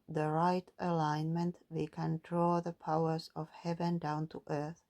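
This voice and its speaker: Woman, low voice, gravelly, calm musing tarot reader. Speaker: tarot and oracle card reader. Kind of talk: spiritual card reading, reading guidebook text aloud.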